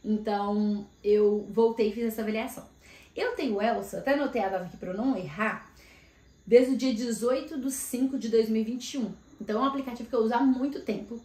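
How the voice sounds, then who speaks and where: A woman in casual chat, vlogging and telling about her week with lively asides. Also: a little high, fast. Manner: chatty, animated storytelling with frequent asides. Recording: echoey room.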